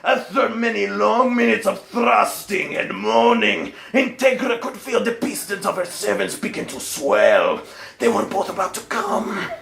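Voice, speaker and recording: A man's voice: high-pitched, lively intonation, measured pace, vocal fry, a bit bright, roomy sound. A man reading a story aloud as a theatrical comic performance. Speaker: storyteller in a comedy troupe.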